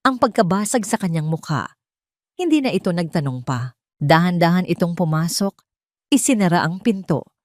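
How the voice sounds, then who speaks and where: A woman with a Filipino accent giving a dramatic scripted story narration in solemn, deliberate phrases. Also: on the low side, a little mellow, dry recording, studio quality.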